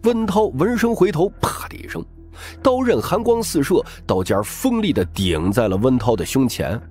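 A man's voice: mid-range voice, sing-song, normal speed, darkish tone. A man giving dramatic scripted narration of a crime novel as an audiobook narrator. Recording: music bed behind the voice.

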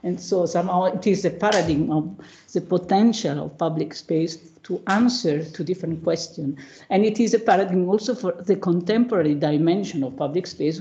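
Italian lady prof doing a slide lecture on a video call, kinda dark creaky voice, stops and hunts for words. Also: slight background noise. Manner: halting, searching for words. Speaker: academic panelist, professor. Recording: muffled low-bandwidth video call audio.

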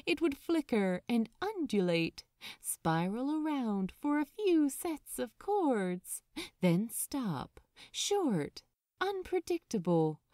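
A woman narrating scripted fan fiction as an audiobook reader, in a measured, expressive way with deliberate pauses. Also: a little high, no echo, clean studio sound.

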